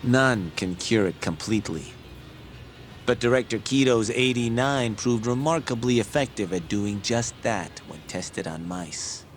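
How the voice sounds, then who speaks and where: A learned medical expert in a game drama, with a fairly low male voice. He explains patiently and earnestly, articulate and measured, with a wry teasing edge. Music plays behind him. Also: expressive. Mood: earnest explaining. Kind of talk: English video game dub, explanatory dialogue. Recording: background music under the voice.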